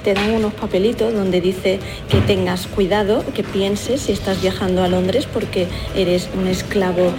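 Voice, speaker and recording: A woman's voice: mid-range voice, little pitch movement, measured pace, few pauses, over music. A woman from Spain with a Spanish accent, chatting casually and humorously as a radio reporter, joking and hesitating as she goes. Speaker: comedy radio show reporter.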